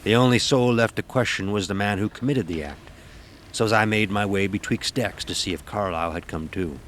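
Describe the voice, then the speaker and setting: A man playing a stern, gruff ship's officer who tells a sea tale in commanding, archaic nautical speech for an audio horror drama. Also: fairly low pitch, fairly quick, fairly bright tone, slight background noise, close to an everyday voice. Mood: measured recounting. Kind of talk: first-person dramatic storytelling, audio horror.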